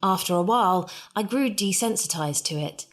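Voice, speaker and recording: A woman's voice: medium pitch, animated, moderate pace. A woman reading a first-person story as scripted narration in a conversational storytelling manner. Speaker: story reader.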